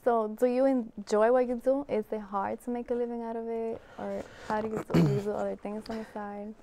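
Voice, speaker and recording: A woman's voice: a little high, little pitch movement, brisk, creaky, dark tone. A woman interviewing an actor, asking short, direct questions.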